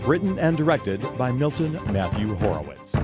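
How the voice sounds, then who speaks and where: Male announcer, scripted show introductions, animated pitch movement, loud music behind. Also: moderate pace. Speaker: announcer for an audio drama troupe.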